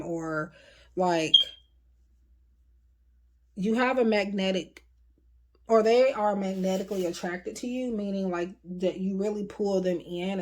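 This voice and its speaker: A woman talks through a tarot reading in a loose, chatty way, full of "like" and "um". Her voice is starting to wear and sounds slightly hoarse. Her pitch sits in an ordinary middle range for a woman. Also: darkish tone. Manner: chatty, rambling, frequent fillers. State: voice getting hoarse. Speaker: tarot reader. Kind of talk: casual tarot reading to camera.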